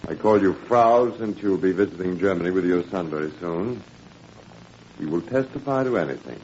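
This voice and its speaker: An actor playing a smug, menacing Nazi official with sneering, mock-courteous manners in 1940s radio drama style. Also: male voice, low-pitched, animated, normal speed, darkish tone. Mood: interrogating, gloating. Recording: muffled, hissy 1940s radio recording.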